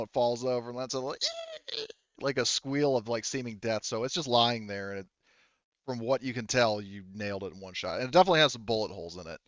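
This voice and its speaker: A man's voice: medium pitch, fairly bright tone, no echo, muffled recording. A man speaking casually as game master of a tabletop roleplaying game, explaining rules and outcomes in informal, sweary talk.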